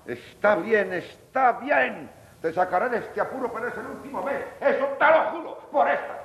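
A man's voice: very high-pitched, lively intonation, normal speed, creaky, fairly bright tone, heavily acted. A man acting a put-upon, indignant man in an old radio serial, in an echoey recording. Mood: resigned.

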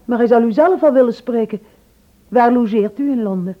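An actress playing an inspector's concerned, inquisitive sister in a radio drama, asking questions in a slightly halting way. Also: female voice, fairly high pitch, lightly acted. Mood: attentive, questioning. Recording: slightly muffled old radio recording.

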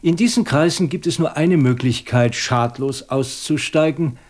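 Shrewd police inspector, played by a man, probing and deliberate. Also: a little high, a little mellow, close to an everyday voice. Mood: methodical, confident. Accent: standard German. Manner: probing, deliberate, ironically polite. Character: shrewd, methodical British police inspector pressing a suspect. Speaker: actor playing a police inspector. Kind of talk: German radio crime drama acting.

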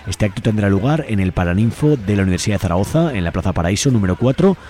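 A man's voice: on the low side, expressive, fast, noisy background. A man hosting radio, reading out community event announcements in an informal, conversational way. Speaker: radio show host. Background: music.

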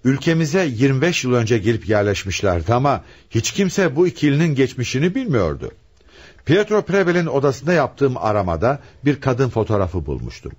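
A man's voice: medium pitch, very animated, a little fast, darkish tone, breathy edge. A man acting a commanding homicide commissioner in a radio play, with an emphatic, questioning, theatrical delivery. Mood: authoritative, revealing.

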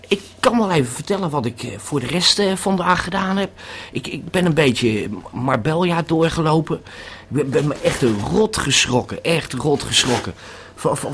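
A man's voice: on the high side, lively intonation, measured pace, few pauses, fairly bright tone, a little hiss or hum. A man playing a rambling male tourist who is tripping on a pill, stammering and repeating his words in a radio drama monologue. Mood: rambling, uneasy.

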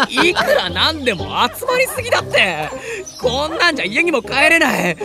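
A boyish, good-natured younger brother with a high, very animated voice. He talks casually and excitably in audio drama style, with music clearly behind. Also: normal speed, heavily acted. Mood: flustered. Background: music and animal sounds.

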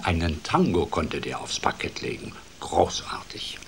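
An actor playing a playful storyteller who tells a children's fairy tale in an expressive, theatrical way for a radio drama. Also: male voice, sits low, a little slow, vocal fry, whispered, full character voice. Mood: playful, musing.

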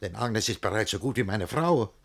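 An actor playing a jealous, pompous, panicky middle-aged master in a theatrical verse comedy for radio, raspy and breathless, swinging between muttering and bellowing. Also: male voice, lowish voice, animated, normal speed. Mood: anguished, brooding.

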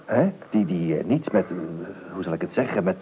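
An eccentric, evasive gentleman in a radio drama. He stammers and repeats himself with ironic courtesy, swinging widely in a low register. The old recording is thin and narrow. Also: sing-song, brisk. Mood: hesitant. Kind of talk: radio play acting. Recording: tinny, band-limited old radio recording with noise.

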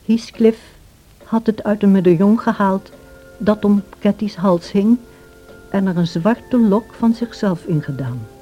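A woman playing a sorrowful, gentle servant who narrates past events in a radio play in a measured way. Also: mid-range voice, soft background music, close to an everyday voice. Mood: measured, sombre. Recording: dull old radio play recording.

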